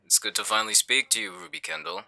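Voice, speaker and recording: A man playing a calculating, menacing villain in an audio roleplay, with a smug, condescending, deliberate delivery. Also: mid-range voice, lively intonation, creaky, sharp tone, full character voice. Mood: smug, taunting. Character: smooth-talking male villain, calculating, menacing leader. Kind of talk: audio roleplay acting on a phone call.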